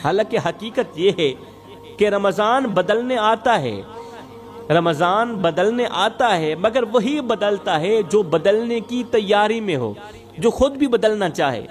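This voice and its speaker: A male preacher with a high-pitched voice delivers a moral sermon in a storytelling style. He exhorts his listeners emphatically, building points through rhetorical repetition. Faint music plays behind his voice. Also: expressive, takes it slowly.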